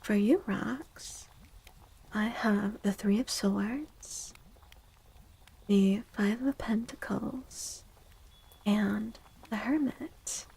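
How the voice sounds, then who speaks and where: A woman speaking softly in a breathy, often whispered ASMR style, gently and soothingly, as she gives a tarot reading. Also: mid-range voice, low-quality recording. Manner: slow, gentle, soothing, deliberate with long pauses.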